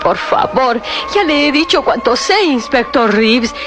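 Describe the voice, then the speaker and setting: A woman's voice: sits high, lively intonation, unhurried, over music. An overwhelmed young lady. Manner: pleading, fragile. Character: distressed young woman, overwhelmed. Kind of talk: vintage radio drama acting.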